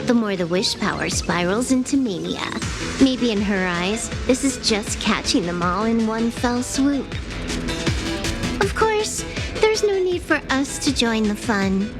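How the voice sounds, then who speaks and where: A woman's voice: fairly high pitch, animated, measured pace. A woman voicing a cool, confident young hacker in a video game, nonchalant and dry in manner. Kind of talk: scripted video game voice acting. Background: loud game music.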